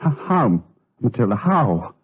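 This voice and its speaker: An actor playing a lustful, guilt-tormented monk in a gothic radio drama, with breathy, theatrical, impassioned delivery. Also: male voice, medium pitch, sing-song, unhurried, dry recording. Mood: anguished. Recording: tinny, phone-like radio drama recording.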